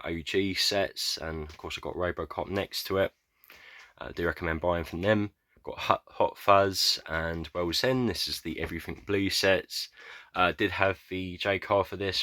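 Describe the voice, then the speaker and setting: A British man giving a rambling, casual tour of his film shelves, hesitant with many fillers, clearing his throat often as his voice goes hoarse. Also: sits low, bright, forceful tone. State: going hoarse, losing his voice. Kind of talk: casual room tour vlog.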